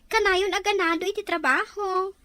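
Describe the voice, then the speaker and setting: Actress doing chatty young bestie teasing, high swoopy voice, dry studio-close sound. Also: female voice, fairly even intonation, normal speed, pushed and bright, no room sound. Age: young adult. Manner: playful, teasing. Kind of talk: scripted audio drama dialogue.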